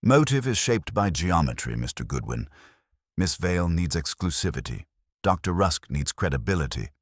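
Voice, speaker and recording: A man voicing an aloof, precise older detective in clipped, unhurried dialogue. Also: low-pitched, big pitch swings, no echo, studio quality. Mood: deliberate. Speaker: armchair detective. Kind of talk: audiobook dialogue.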